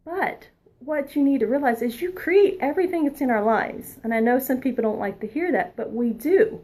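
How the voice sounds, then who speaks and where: A woman past her twenties giving a spiritual oracle card reading, earnest and encouraging, sometimes dropping into a soft whisper. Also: high voice, animated, normal speed. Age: adult past her twenties.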